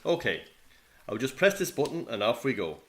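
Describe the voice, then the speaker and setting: An actor playing a polite, businesslike military bureau interviewer in a radio play, speaking formally with an Irish accent. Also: male voice, medium pitch, sing-song, moderate pace, fairly bright tone. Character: courteous, businesslike military bureau official taking testimony.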